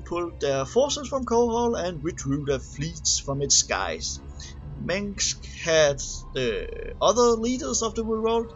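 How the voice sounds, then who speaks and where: A man with a slightly high voice narrates from a written text. His reading is halting, with words repeated and restarted. The recording sounds dull and homemade, with music playing faintly behind him. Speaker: amateur reader of game lore. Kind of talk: scripted narration, reading a game manual aloud. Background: background music.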